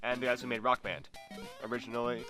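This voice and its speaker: A young man co-hosting a casual video game chat, talking quickly with lots of restarts. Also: soft background music. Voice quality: deep bass voice. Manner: fast, animated, stumbling restarts. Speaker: co-host.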